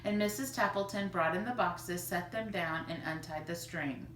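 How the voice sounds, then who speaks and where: A woman reading a children's story aloud in an expressive, animated storytelling style. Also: fairly low pitch, moderate pace. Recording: roomy, distant mic, faint noise.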